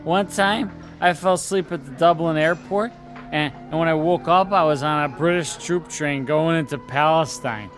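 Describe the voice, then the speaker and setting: A man acting a genial drinker and teller of tall tales in a radio drama. His voice is fairly high for a man and his phrasing is flowery, with faint music underneath. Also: normal speed, a bit bright, lightly acted. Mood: convivial. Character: convivial, wordy male barfly storyteller. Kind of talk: dramatized radio-play dialogue.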